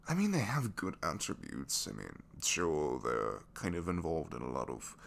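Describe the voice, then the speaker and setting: A man playing a captured, reluctantly evil supervillain in a romantic ASMR roleplay, bashful and lovestruck, speaking softly and haltingly and slipping into whispers. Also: very deep voice, wildly swooping pitch, vocal fry, a little hiss or hum, full character voice. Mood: defensive, musing. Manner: halting, self-deprecating, soft confiding delivery slipping into whispers.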